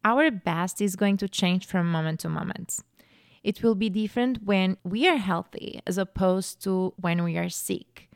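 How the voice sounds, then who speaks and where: A young woman talks earnestly and reflectively, as a podcast host sharing her thoughts. Her voice carries a gravelly fry at the ends of phrases. The sound is close and dry, with no room around it. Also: medium pitch, a little slow, no echo. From Portugal. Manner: earnest, animated when telling a story. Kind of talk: casual reflective monologue.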